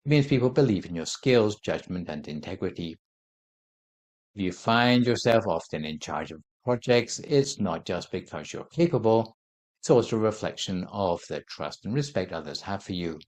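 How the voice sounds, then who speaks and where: A Buddhist monk giving a calm dharma talk, explaining his points in a measured, gentle way. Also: low-pitched. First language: not Thai.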